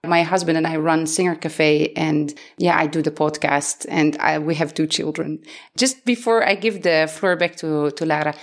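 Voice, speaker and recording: A woman's voice: sits low, steady tone, normal speed. A woman from the Netherlands hosting a podcast, speaking in a conversational presenting style. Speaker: podcast host.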